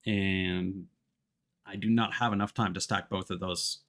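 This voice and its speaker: A man's voice: steady tone, a little slow. A man giving casual live commentary as he plays a game, thinking aloud in a self-critical way. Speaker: streamer. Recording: loud rumbling game noise behind. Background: video game sound effects.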